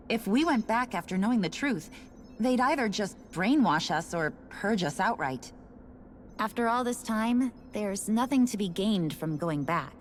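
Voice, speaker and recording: A woman's voice: medium pitch, slight background noise, natural voice. An actress voicing a casual, resigned woman station worker in a video game. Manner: casual, offhand, matter-of-fact. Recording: game audio with faint music.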